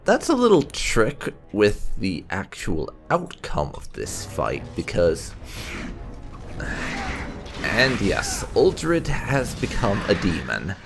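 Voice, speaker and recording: A man with a lowish, creaky voice narrates his roleplay playthrough of a game. He is wry and unhurried, with very wide swings in pitch, over faint music. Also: wildly swooping pitch. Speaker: gaming video host.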